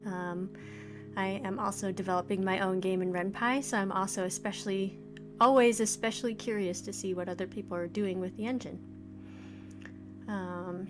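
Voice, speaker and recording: A woman in her forties giving casual first-impressions game commentary, thinking aloud in hesitant, trailing phrases. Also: medium pitch. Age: 40s. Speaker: let's play host, indie game developer. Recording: music playing behind the voice. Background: video game music.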